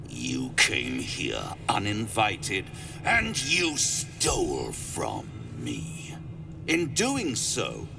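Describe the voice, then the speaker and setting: A man's voice: fairly low pitch, creaky, recorded from a distance, heavily acted. A man acting a haughty, menacing devil lord in a video game, with theatrical, grandiose delivery and precise diction. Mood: angry. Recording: game audio with music behind.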